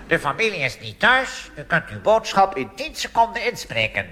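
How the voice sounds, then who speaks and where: A man's voice: sits high, big pitch swings, normal speed, sharp tone, in a large hall, pitched above the performer's own voice, heavily acted. A man playing himself posing as an answering machine, speaking in a prim, mechanical recorded-message tone on a live stage. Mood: mock formal.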